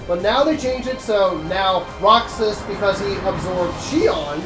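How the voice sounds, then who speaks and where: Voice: mid-range voice, a little slow, reverberant, music in the background. A let's-play co-commentator explaining game lore with dry, laid-back remarks. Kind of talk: casual reaction commentary.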